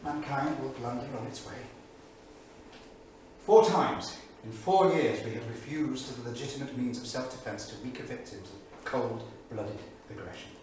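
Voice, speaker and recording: A man's voice: mid-range voice, moderate pace, a little mellow, close to an everyday voice. Man playing a grave brooding intellectual, very echoey, distant. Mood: solemn. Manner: grave, rhetorical, declamatory. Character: brooding 1930s English intellectual man keeping a diary. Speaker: actor. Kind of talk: staged reading of a dramatic monologue. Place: small theatre studio. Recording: distant, echoey theatre recording with noise.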